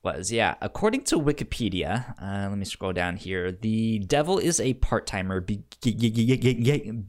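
A man co-hosting a podcast in casual conversation, halting and given to chuckling as he goes through his notes. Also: fairly low pitch, expressive. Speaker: podcast co-host.

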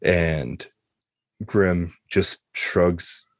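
A man's voice: deep voice, steady tone, drawn-out words, dry recording, clean studio sound. A man narrating as game master, improvising the scenes of a tabletop roleplaying game.

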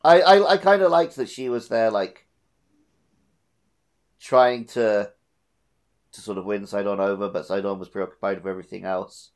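A man's voice: lowish voice, normal speed, long pauses. A man co-hosting a casual video game podcast, sometimes repeating words as he thinks aloud. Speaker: podcast co-host.

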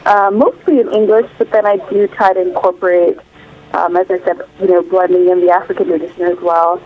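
A woman's voice: fairly low pitch, steady tone, fairly quick. A woman singer interviewed by phone, from Illinois, speaking thoughtfully and searching for words with frequent fillers. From Illinois, United States. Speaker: guest singer. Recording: tinny phone line.

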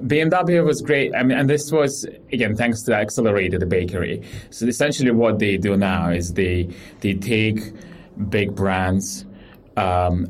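Relaxed low-voiced man from Ukraine. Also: slight background noise. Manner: relaxed, rambling with many fillers and restarts. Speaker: guest, startup CEO. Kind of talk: casual interview talk.

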